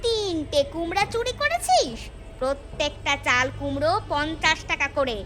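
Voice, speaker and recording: Woman acting high-voiced, greedy, bossy village wife. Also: animated, moderate pace, a bit bright. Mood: angry, threatening. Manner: bossy, scolding. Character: greedy, scheming village wife, scolding and bold. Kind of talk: cartoon folk-tale acting. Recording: clear music bed. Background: music.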